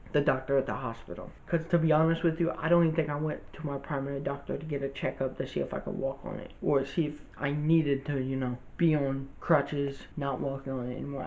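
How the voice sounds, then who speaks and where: A young man talks through a rambling storytime for his channel, his slightly high voice carrying a gravelly fry. The recording sounds a bit dull and lo-fi. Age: young adult. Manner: rambling, informal, with many restarts and fillers. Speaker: vlogger. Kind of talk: casual storytime monologue.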